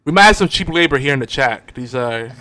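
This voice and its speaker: A middle-aged man hosts a casual chat show. His voice sits at a medium pitch and he talks in a loose, bantering way. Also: animated, fairly quick, pushed and bright, no echo. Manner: joking, bantering. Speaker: podcast host.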